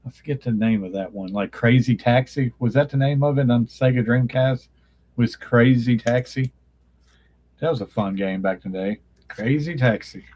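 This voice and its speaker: A man's voice: medium pitch, normal speed, a little mellow. A man, chatting casually on a live stream from his childhood bedroom. He meanders, often stops to hunt for a name, and his voice is getting dry from talking so long. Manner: meandering, nostalgic, searches for names mid-sentence. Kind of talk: casual rambling chat.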